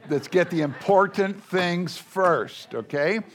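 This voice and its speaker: Loud, joking older preacher man. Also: sits high, slow. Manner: loud, joking, rambling with many fillers. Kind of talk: folksy sermon to a congregation.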